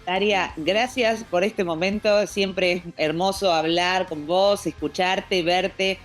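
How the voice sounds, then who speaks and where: A woman from Argentina with an Argentine accent, interviewing a guest in a friendly, soft-spoken and slightly hesitant way. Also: fairly low pitch, steady tone, a little slow, soft background music. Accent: Argentine Spanish. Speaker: radio interviewer. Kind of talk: casual interview.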